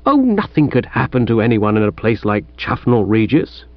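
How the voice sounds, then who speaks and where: A man voicing a breezy upper-class young gentleman in a comic audiobook, flippant and affable, with a British accent. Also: medium pitch, sing-song, moderate pace, dry recording, muffled recording, close to an everyday voice.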